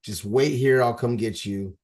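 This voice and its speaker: A man's voice: mid-range voice, unhurried, a little mellow. A man in his forties talking casually on a podcast. He rambles and stresses his points, often restarting his sentences. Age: 40s. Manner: rambling, emphatic, with frequent restarts.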